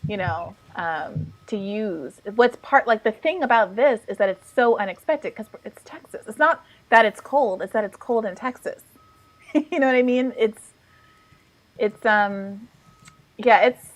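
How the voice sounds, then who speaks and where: A woman in casual panel talk, chatty and animated, with lots of fillers and restarts. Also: fairly high pitch, a little slow, low-quality recording. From lived in Kenya as a child.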